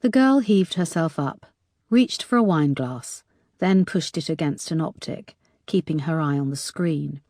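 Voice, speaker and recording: A woman reading a thriller audiobook in an even, measured way. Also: low-pitched, no room sound, clean studio sound. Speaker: audiobook narrator. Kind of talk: scripted narration.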